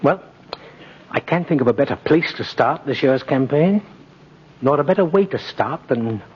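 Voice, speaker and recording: An actor playing a kindly, principled old department-store Santa Claus in a 1950s radio drama, gently insistent and old-fashioned in manner. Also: male voice, mid-range voice, animated, normal speed, husky. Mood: indignant, resolute. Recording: dull, noisy old radio recording.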